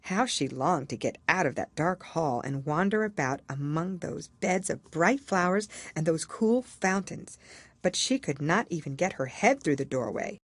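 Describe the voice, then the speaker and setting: A woman narrates a storybook in a low voice. Her delivery is expressive and measured, with very lively melody, and the sound is dry and close. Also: dry recording. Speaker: audiobook reader.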